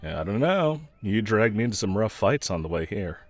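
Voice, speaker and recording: A man's voice: lowish voice, big pitch swings, normal speed, no room sound. A man playing a snarky, pragmatic yet protective mercenary escort in a fantasy audio roleplay, talking to the listener in a wry, teasing, laid-back way.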